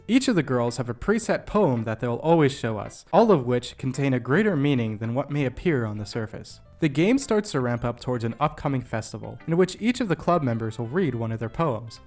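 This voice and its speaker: A young man delivers scripted video essay narration with music underneath. His voice sits at a medium pitch, and his manner is jokey and self-deprecating, in the style of an online storyteller. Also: a little mellow. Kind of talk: scripted narration with comedic asides. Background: background music.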